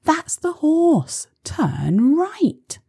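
A woman voicing a sneaky male thief in a children's storybook, hushed and conspiratorial. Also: sits high, wildly swooping pitch, drawn-out words, gravelly, pitched above the performer's own voice, heavily acted. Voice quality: hushed, whispery. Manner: furtive, conspiratorial. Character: two sneaky male cattle thieves plotting in whispers.

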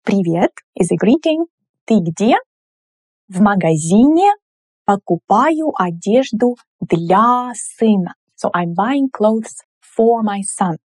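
A woman, teaching grammar at a whiteboard with slow, clear, patient explanations. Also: mid-range voice, lively intonation, a little mellow, no echo, studio quality. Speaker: language teacher. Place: indoor room in front of a whiteboard.

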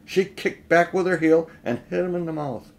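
A middle-aged man reading a children's storybook aloud in expressive narration. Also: fairly high pitch, moderate pace. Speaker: audiobook reader. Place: small room at home, indoors.

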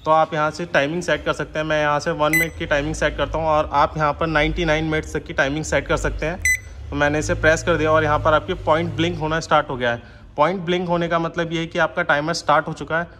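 A man's voice: on the high side, flat delivery, fast talker, noisy background. A man giving an unscripted, step-by-step product demonstration, mixing in English technical terms. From India.

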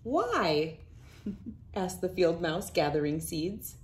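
Female storybook narrator, thirties: expressive, slow-paced, very varied intonation. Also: medium pitch. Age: 30s. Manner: expressive, deliberate storytelling delivery. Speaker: children's book reader. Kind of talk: read-aloud storybook narration. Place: small wood-panelled room indoors.

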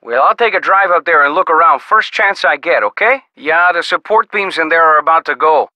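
An actor playing an easygoing, skeptical small-town sheriff in a video game, with a folksy, casual manner. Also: male voice, a little high, animated, bright, forceful tone, dry recording. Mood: dismissive, easygoing. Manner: folksy, casual, drawn-out reactions. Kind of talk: video game character dialogue.

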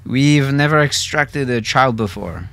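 Man voicing concerned soldier, raised pitch. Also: expressive, measured pace, creaky, a bit bright. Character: male soldier with thick accent, concerned and questioning.

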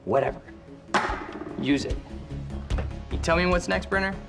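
A man's voice: high-pitched, vocal fry, over music. A man in his thirties with an American accent playing a smug, sarcastic computer hacker in a screen thriller. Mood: mocking, gleeful. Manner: smug, swearing, drawn-out emphasis. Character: cocky, sarcastic male computer hacker bossing his assistant. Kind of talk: live-action thriller screen acting.

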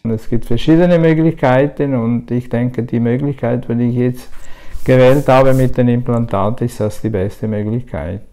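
An older man in his sixties talks as a patient in a casual testimonial. His voice is mellow and medium in pitch, picked up by a lapel mic in a small treatment room. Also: normal speed. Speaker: dental patient. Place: small dental clinic room.

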